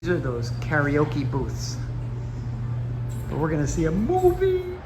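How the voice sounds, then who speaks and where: A man's voice: on the high side, wildly swooping pitch, a little slow, background noise. A man, middle-aged, hosting a casual, chatty vlog that teaches language with rambling asides. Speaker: video host teaching Chinese characters.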